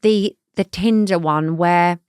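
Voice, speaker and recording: Young woman, podcast co-host, excitable chatter, dry close studio sound. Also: fairly low pitch, takes it slowly, clear, resonant tone, no echo. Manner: excitable, dramatic reenactments. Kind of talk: casual chat.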